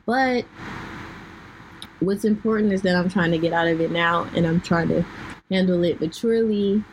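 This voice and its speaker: Slightly hoarse young woman, candid. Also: on the low side, normal speed, low-quality recording. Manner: candid, earnest, many fillers. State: losing her voice, clearing throat. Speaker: podcast host. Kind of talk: personal talk to listeners. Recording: faint background noise.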